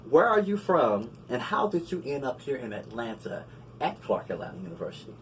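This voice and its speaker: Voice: medium pitch, big pitch swings, a little fast. Friendly interview host, distant mic. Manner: friendly, playful, lots of affirming mm-hmms. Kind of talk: casual interview. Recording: distant mic with light background noise.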